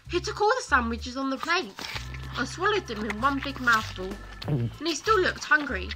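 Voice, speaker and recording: A woman reading a children's bedtime story expressively, in deliberate short phrases. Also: sits high, sing-song, poor audio. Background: music.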